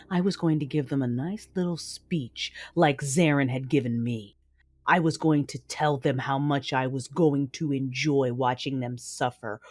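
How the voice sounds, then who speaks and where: A woman playing a brooding, menacing Sith lord, narrating her own story in an audio drama. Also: rumbling low. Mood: cold, menacing. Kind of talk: first-person audio drama narration and dialogue. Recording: dramatic music underneath.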